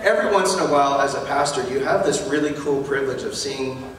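A man in his forties leads a baptism as pastor, his talk light and encouraging. His voice sits in the middle range and is caught from a distance in a big reverberant hall, with steady room noise underneath. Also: medium pitch, fast. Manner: warm joking, encouraging. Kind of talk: baptism address to congregation. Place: church stage in baptism tank. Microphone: handheld mic, distant room pickup. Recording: echoey hall from far off.

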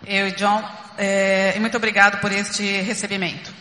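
A woman interpreting a speaker live on stage, repeating each phrase with a few halting fillers. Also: medium pitch, level tone, bright, forceful tone. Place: large convention hall stage. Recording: roomy hall reverb.

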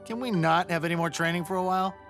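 An actor playing a nervous, reluctant young space pilot who grumbles sarcastically in an animated action comedy. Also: male voice, high-pitched, fast talker, vocal fry. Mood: dejected. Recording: music behind.

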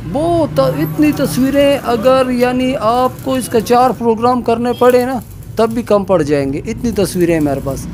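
Interviewee, man, 50s, from Pakistan; high-pitched, earnest and complaining, noisy workshop recording. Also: moderate pace, darkish tone. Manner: earnest, complaining, repeats filler words. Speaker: artist interviewee. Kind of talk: casual interview. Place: cluttered workshop, indoors. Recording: noisy background.

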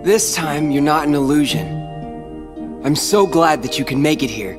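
An actor playing an earnest, brooding teenage boy hero in a video game cutscene, speaking haltingly. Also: on the high side, animated, music in the background, natural voice. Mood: relieved, tender.

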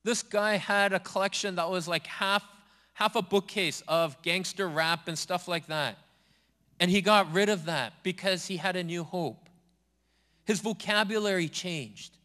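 A man preaching a sermon as a pastor, speaking earnestly in a steady, repeating preaching cadence. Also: high voice, measured pace, big-room sound. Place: church sanctuary.

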